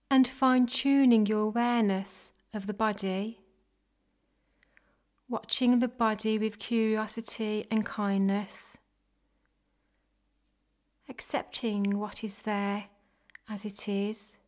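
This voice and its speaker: A woman leading a guided body scan meditation in a soft, gentle, measured way. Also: medium pitch. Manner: gentle, measured, unhurried phrasing with pauses.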